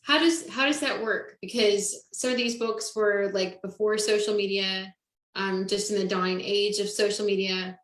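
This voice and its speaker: A woman's voice: mid-range voice, fairly even intonation, normal speed, fairly bright tone, echoey room, low-quality recording. A woman hosting a casual interview, talking with lots of fillers and easy laughs. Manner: bubbly, filler-heavy, laughs often. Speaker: podcast host.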